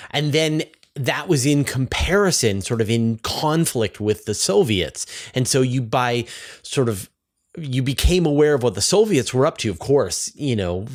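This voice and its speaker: A man hosting a conversational interview, informal and thinking aloud as he rephrases. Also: mid-range voice. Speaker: interview host, space journalist.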